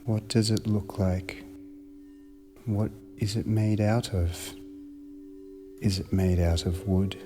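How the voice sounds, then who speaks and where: A man narrating a guided meditation in a measured, soothing manner with long pauses between short phrases. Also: on the low side, fairly even intonation, noisy background.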